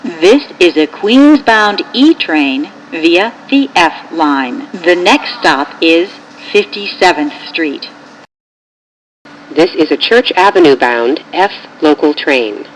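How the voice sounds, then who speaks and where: An American woman announces train service in slow, formal, neatly separated phrases. Her voice sits a little low for a woman. It is a recorded announcement captured from a train loudspeaker, with light subway noise around it. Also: expressive, rough recording. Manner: measured, formal, clearly segmented phrases. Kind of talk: scripted automated transit announcement. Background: subway train ambience.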